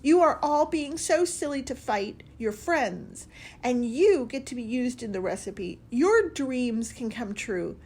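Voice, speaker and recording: A woman's voice: on the high side, animated, measured pace, a little mellow, husky, voice pushed up, exaggerated voice. Slice, a woman voicing a meek lonely bread boy. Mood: hurt. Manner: meek, tearful, self-pitying. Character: timid lonely slice of bread, young male.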